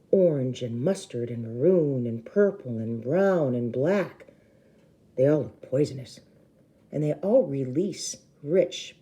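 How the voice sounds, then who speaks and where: Female read-aloud storyteller, teacherly and emphatic, very warm dark timbre, on the lower side. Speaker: teacher reading a novel aloud to children. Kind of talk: expressive storybook read-aloud with brief explanations.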